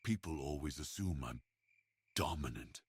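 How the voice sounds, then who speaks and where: Guy doing a big soft werewolf, really deep n husky, shy sweet romantic asmr. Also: expressive, normal speed, no room sound, exaggerated voice. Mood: shy, intimate. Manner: earnest, tender, bashful. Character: big, muscular, gentle, bookish romantic male werewolf. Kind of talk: ASMR roleplay.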